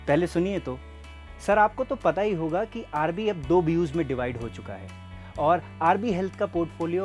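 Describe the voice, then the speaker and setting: High-pitched earnest salesman acted by a man. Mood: persuasive, polite. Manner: explanatory, addressing the listener directly. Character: earnest, persuasive male pharmaceutical sales rep. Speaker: presenter and sales representative. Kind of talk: scripted training film narration and role-play.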